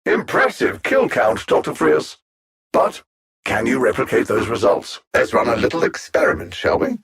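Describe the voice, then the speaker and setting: A coldly superior alien supervillain from a video game, in a British accent. His delivery is theatrical and sardonic, swinging widely in pitch. The voice is husky, with pompous, carefully placed diction. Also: big pitch swings, normal speed, a bit bright, exaggerated voice. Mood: coldly amused. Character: haughty alien supervillain machine intellect, coldly superior, mocking.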